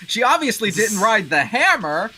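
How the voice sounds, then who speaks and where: A woman's voice: medium pitch, very animated, normal speed, crowd noise. A woman bantering casually through a group game read-through, wry and joking. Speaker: fan dub voice performer.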